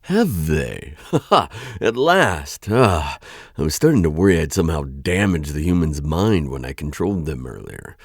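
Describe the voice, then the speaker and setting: A male actor plays a flustered vampire lord with an extremely low, husky voice. He muses slowly in halting, stammering phrases, courteous but a little aloof, with lively swings in pitch, as in an intimate ASMR audio roleplay. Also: no echo, clean studio sound, heavily acted. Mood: relieved, pensive. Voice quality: very deep, gravelly, husky voice. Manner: hesitant, stammering, musing with sighs and trailing pauses.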